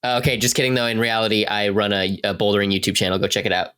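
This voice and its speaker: A man's voice: lowish voice, level tone, very fast, a bit bright, smooth. A man chatting casually as a podcast cast member, joking and repeating words for effect. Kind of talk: casual chat.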